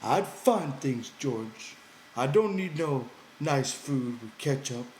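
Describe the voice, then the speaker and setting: A man's voice: mid-range voice, animated, slow pace, a little mellow, reverberant, slight background noise, pitched above the performer's own voice, poor audio. A man voicing a big, childlike, simple-minded ranch hand who pleads and whines in an audiobook. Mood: hurt, wistful. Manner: whiny, pleading, childlike, ungrammatical.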